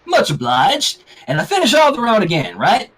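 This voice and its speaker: A man's voice: very high-pitched, wildly swooping pitch, normal speed, a bit bright, husky, pitched above the performer's own voice, exaggerated voice. A man voicing a plain-spoken, folksy country teenage girl from a cartoon, terse and a little smug. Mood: dry.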